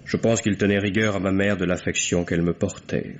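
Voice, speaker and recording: A low-pitched, guarded, formal son. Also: male voice, fairly even intonation, fairly quick. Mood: defensive, bitter. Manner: formal, hesitant, curt. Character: reserved, guarded, bitter bourgeois son under questioning. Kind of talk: old French radio mystery acting. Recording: dull, noisy old radio drama recording.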